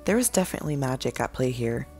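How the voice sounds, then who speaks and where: A woman playing an analytical, level-headed detective in an amateur animated film. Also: a bass voice, quick pace, soft background music, full character voice. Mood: explaining. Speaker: voice actor in a fan animated film. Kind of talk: scripted amateur dramatic dialogue.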